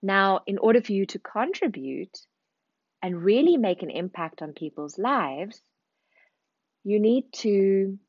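A woman's voice: mid-range voice, expressive, takes it slowly, dry recording, highs cut off. Earnest South African woman coaching listeners. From Zimbabwe, raised in South Africa. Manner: earnest, reflective, repeats phrases for emphasis. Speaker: podcast host, speaking coach. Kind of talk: motivational podcast monologue.

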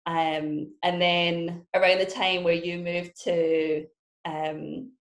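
A woman's voice: lowish voice, little pitch movement, slow. A Scottish woman in her thirties, a podcast guest chatting casually with a witty, teasing, blunt manner. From Scotland. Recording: roomy echo.

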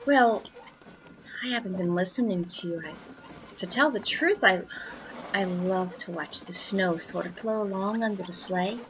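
A woman's voice: medium pitch, normal speed, a little hiss or hum, lightly acted, low-quality recording. Woman as a mild, dreamy young lady. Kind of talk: old-time radio drama acting.

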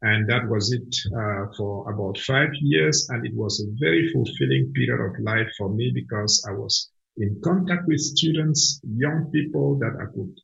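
A man's voice: medium pitch, normal speed, gravelly, few pauses, fairly bright tone. A man from Cameroon, a mission organization director, earnestly answering questions in an online interview with frequent restarts. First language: a Cameroonian language. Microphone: video call. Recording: dull lo-fi online call.

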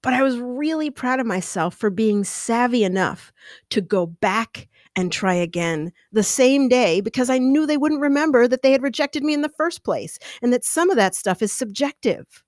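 A middle-aged woman with a lower, mezzo-alto voice tells a personal story on her podcast. She is animated and expressive, talking with her hands. The sound is dry and close to the microphone. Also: normal speed, no echo. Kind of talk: casual storytelling.

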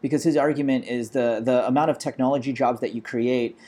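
American man in his forties, casual interview guest, relaxed storyteller, lots of fillers. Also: medium pitch, brisk. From Los Angeles, USA. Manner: relaxed, many fillers like "you know" and "uh". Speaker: guest, startup founder.

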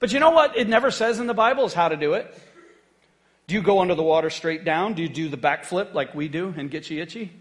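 A man's voice: sits high, expressive, fast talker. A man preaching a conversational sermon to his church, joking and often checking in with the congregation. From United States. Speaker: pastor.

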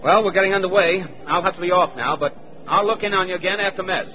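Actor as cordial American naval officer. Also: male voice, high voice, quick pace, close to an everyday voice. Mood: cordial, businesslike. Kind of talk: 1940s radio acting. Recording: dull, noisy old 1940s radio recording.

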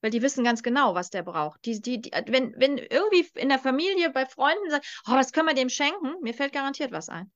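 Woman, higher voice, emphatic direct coaching talk, podcast guest, muffled lo-fi sound. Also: animated, fairly quick, hardly any pauses. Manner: emphatic, direct, with frequent checking tag questions.

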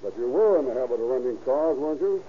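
An actor playing a hard, pressing police interrogator with blunt, accusing questions in a 1930s radio crime drama. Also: male voice, medium pitch, lively intonation, fast, close to an everyday voice. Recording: muffled, noisy old radio recording.

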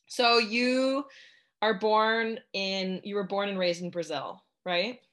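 A woman's voice: medium pitch, fairly even intonation, slow pace, fairly bright tone. A young woman hosting a sports podcast, interviewing a guest in a casual, chatty way full of "um" and "like". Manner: chatty, many fillers like "um" and "like". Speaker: podcast host.

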